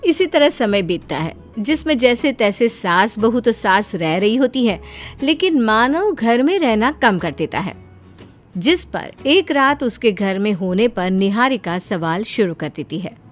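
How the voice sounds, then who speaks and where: A woman's voice: on the high side, very animated, a little slow. A woman narrating a scripted story as a storyteller. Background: background music.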